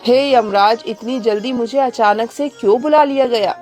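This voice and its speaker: A woman as a high-voiced dramatic cartoon crow lady. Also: moderate pace, a little mellow, soft background music, close to an everyday voice. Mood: pleading. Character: haughty, selfish female crow, later a remorseful heron. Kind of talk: children's animated moral story acting.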